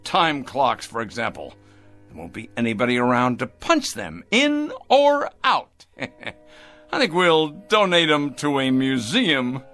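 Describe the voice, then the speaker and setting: An actor playing a smug company boss obsessed with progress, with a pompous, condescending, theatrical delivery in a drama. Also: male voice, mid-range voice, wildly swooping pitch, takes it slowly, fairly bright tone, soft background music, exaggerated voice. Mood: gloating. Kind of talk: dramatic radio-play style acting.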